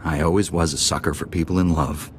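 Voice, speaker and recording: A man playing a calm, noble young knight in a video game, measured and polite. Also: very deep voice, a little hiss or hum. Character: composed, noble young male Jedi knight. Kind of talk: video game dialogue acting.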